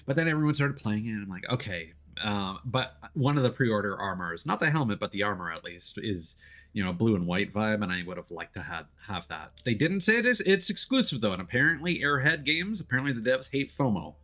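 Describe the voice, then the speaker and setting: A man chatting casually as he plays a video game on stream, often pausing and restarting mid-thought. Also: fairly low pitch, sing-song, sharp tone. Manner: chatty, hesitant mid-thought, playful exclamations. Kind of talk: casual gameplay commentary and chat. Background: faint game music.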